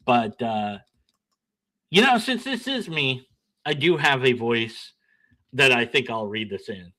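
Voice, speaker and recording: Animated, bright-voiced male streamer. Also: mid-range voice, takes it slowly. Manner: animated, sarcastic, laughs at himself, bickers with himself; blunt, argumentative when reading his replies. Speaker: channel host. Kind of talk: casual solo commentary, reading emails aloud.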